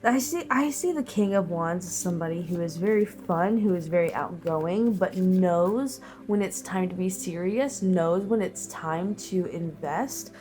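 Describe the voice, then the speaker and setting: A woman giving a tarot reading, her voice a little low and nasal from a cold. She speaks very slowly and thoughtfully, drifting through fillers and pauses, with soft music faintly underneath. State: sick, nasal and sniffly. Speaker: tarot reader. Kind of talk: slow, reflective collective tarot reading.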